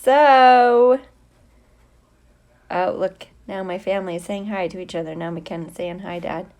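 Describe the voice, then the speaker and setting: Slow, chatty, playful woman teacher on livestream. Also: medium pitch, darkish tone. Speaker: teacher hosting a livestream for students. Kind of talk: casual livestream chat, greeting viewers by name.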